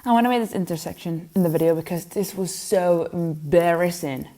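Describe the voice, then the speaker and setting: A woman's voice: on the low side, a little fast, warm tone. A young woman whose first language is Spanish, chatting casually to camera in a vlog, teasing herself and laughing often. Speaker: vlogger.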